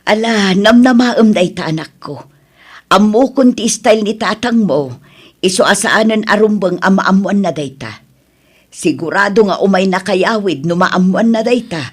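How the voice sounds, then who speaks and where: A woman acting a husky, animated Ilocano mother. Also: medium pitch, moderate pace. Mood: reassuring. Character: caring, encouraging middle-aged mother of grown children. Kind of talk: Ilocano radio drama acting.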